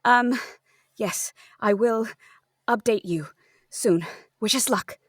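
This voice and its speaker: Eccentric female writer-sleuth, played by a woman: highish voice, close dry sound, stammering and earnest. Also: slow, husky, no room sound. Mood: awed.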